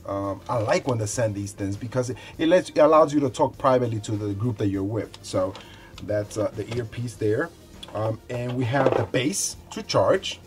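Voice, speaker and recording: A man in his forties giving a casual, unscripted product review in a conversational, halting way, often repeating words as he goes. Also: mid-range voice, over music. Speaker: product reviewer, show host. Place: small indoor room with shelves.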